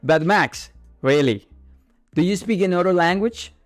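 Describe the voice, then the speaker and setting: Slow-talking man hosting a chat. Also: sits high, lively intonation, dry recording, soft background music. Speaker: interview host. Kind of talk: casual bilingual podcast interview chat.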